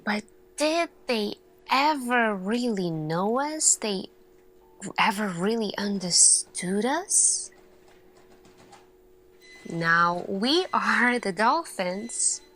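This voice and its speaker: A woman acting as an earnest, thoughtful lead scientist addressing her team in a soft-spoken ASMR roleplay, speaking deliberately with long pauses. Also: medium pitch, expressive. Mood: pensive, grave.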